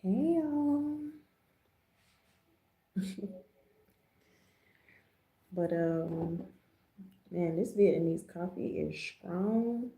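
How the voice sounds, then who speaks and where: Casting: American female livestream host, relaxed mellow tone with lots of vocal fry, chatty and slangy, echoing room sound. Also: lowish voice, extremely slow, long pauses. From Jamaica, raised in the US. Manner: laid-back, slangy, chatty. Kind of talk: casual livestream chat. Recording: roomy, echoing livestream audio.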